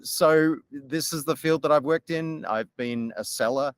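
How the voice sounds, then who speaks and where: A middle-aged Australian man, a podcast guest, talking in a measured, articulate way about his career. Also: a little high, clean tone, dry recording. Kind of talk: podcast interview.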